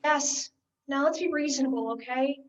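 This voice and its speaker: A woman's voice: sits high, moderate pace, close to an everyday voice. A teenage girl acting an exasperated, insistent voice of reason in a school stage comedy, speaking emphatically. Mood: coaxing. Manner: emphatic, theatrical. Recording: dull, distant school play recording.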